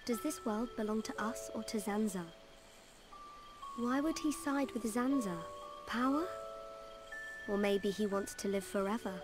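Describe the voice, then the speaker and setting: A woman with a British accent voicing a gentle, troubled young heroine in a video game. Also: fairly high pitch, measured pace, long pauses, over music, close to an everyday voice. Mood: pensive. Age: young adult.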